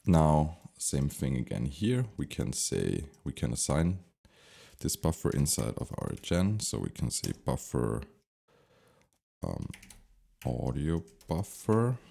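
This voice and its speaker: A man giving an unscripted software tutorial, thinking aloud step by step as he instructs. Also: a bass voice, sing-song, takes it slowly, gravelly, breathy edge.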